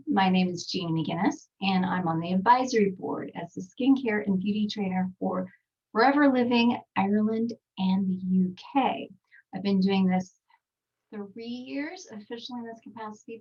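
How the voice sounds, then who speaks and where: A woman's voice: mid-range voice, expressive, normal speed, husky, distant mic, muffled recording. A woman in her forties with a Texas accent, presenting a casual online skincare talk in a chatty way with many fillers, from a small home studio. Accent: Texan. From Texas, United States. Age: 40s. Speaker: skincare trainer presenting a webinar.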